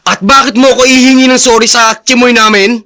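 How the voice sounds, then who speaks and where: A man's voice: very high-pitched, fairly even intonation, fairly quick, sharp tone. An actor playing a jealous, defiant young man in Filipino radio drama, confrontational and accusing. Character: jealous, defiant young son and possessive boyfriend.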